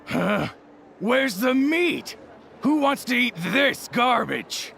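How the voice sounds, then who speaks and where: A man's voice: extremely high pitch, very animated, takes it slowly, creaky, fairly bright tone, faint music behind, heavily acted. A man voicing a petty, menacing henchman in a video game, with a sneering, drawling delivery. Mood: sneering, disgusted.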